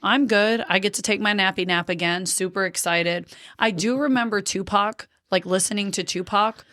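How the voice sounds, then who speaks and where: A woman's voice: medium pitch, moderate pace, fairly bright tone. A woman nearing forty hosts a podcast and tells her own story in a blunt, profane, unfiltered way, breaking into laughter often. Her voice sits in the middle range. The sound is dry and close, with no room echo. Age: 30s. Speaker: podcast host. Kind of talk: casual storytelling chat.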